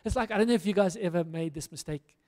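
Fervent high-voiced male preacher in a hall. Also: quick pace, darkish tone. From South Africa. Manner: earnest, rousing preaching with emphatic repetition. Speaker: pastor. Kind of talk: sermon. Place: church stage in a large hall. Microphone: handheld microphone. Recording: long hall reverb.

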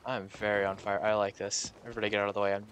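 A man's voice: lowish voice, flat delivery, rapid-fire, a bit bright, slight background noise. A man in casual game chat with friends, guiding them along with a wry, sarcastic manner. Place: voice chat over a video game.

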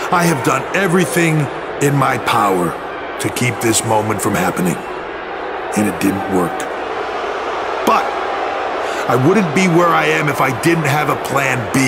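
An actor playing a calculating villain boss, delivering a deliberate, threatening wrestling promo in a video game. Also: male voice, on the high side, vocal fry. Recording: noisy arena sound.

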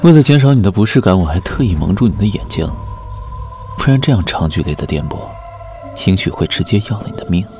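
A man acting a calm, amused captor in a radio drama, softly taunting with faint menace. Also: sits low, fast talker, music in the background. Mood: teasing.

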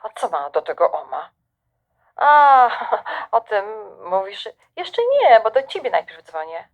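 A woman's voice: medium pitch, sing-song, a little slow, muffled recording. A middle-aged actress playing a chatty, slightly scatterbrained mother of a grown daughter in an audio drama. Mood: puzzled, chatty. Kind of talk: naturalistic audio drama dialogue.

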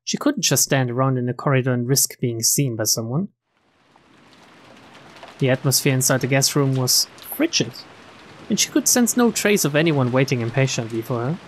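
A man reading a visual novel aloud as a streamer, with expressive narration and casual asides. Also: medium pitch, moderate pace, poor audio.

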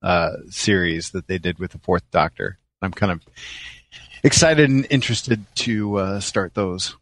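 Deep-voiced man in relaxed podcast conversation. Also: a little slow, dry recording. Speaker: podcast co-host. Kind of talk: casual podcast chat.

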